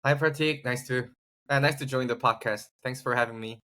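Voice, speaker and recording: A man's voice: medium pitch, steady tone, a little fast, pushed and bright, a little hiss or hum. A man from South Korea with a Korean accent, telling his story casually as a podcast guest, often searching for words. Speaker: podcast guest, startup founder. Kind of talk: casual personal storytelling in an interview.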